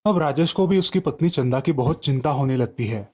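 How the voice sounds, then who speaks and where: A man's voice: medium pitch, expressive, a little fast, a little mellow. A man telling a children's story as a scripted narration, over background music.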